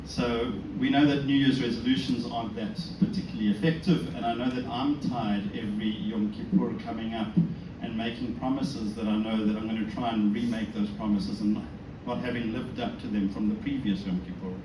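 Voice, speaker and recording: A man's voice: medium pitch, steady tone, a little fast, few pauses. A South African man giving an informal religious talk to an audience, conversational and self-deprecating, often posing rhetorical questions. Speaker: speaker giving a talk at a synagogue. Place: indoors in a synagogue, before an audience. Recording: echoey room, distant mic, noisy background.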